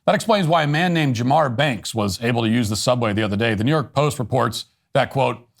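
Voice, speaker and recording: A man's voice: mid-range voice, brisk, creaky. Sardonic man delivering political commentary. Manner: sardonic, emphatic delivery with listed punchlines. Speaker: host of a political commentary show. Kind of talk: scripted political commentary monologue; read ad endorsement.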